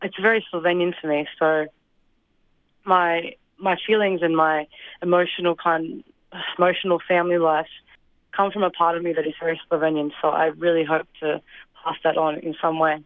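A young woman from Australia answering interview questions in a thoughtful, hesitant way, with fillers and soft laughs. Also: deep voice, flat delivery, normal speed, no echo. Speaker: interview guest. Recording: dry, phone-like line.

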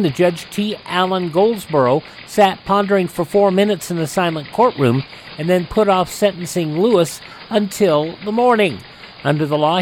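A man's voice: high-pitched, a little hiss or hum, poor audio. A man working as a radio host, reading scripted news stories and announcing a program.

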